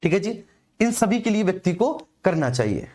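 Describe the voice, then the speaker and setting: A man's voice: high-pitched, sing-song, moderate pace, dark tone. A man teaching an exam coaching class informally, explaining repetitively and addressing his students directly.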